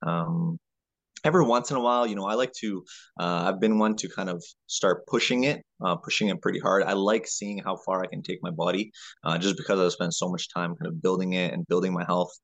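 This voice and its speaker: A man, a health coach answering as a podcast guest in a halting, conversational way, often repeating words. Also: deep voice, fairly even intonation, vocal fry, no room sound.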